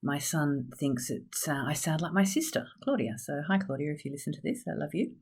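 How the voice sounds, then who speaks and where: A middle-aged woman hosting her podcast in casual solo chat, with a slightly gravelly, low voice and an upbeat, chatty manner. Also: expressive, fairly quick, darkish tone. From Australia. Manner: chatty, upbeat, with frequent fillers. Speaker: podcast host and astrologer.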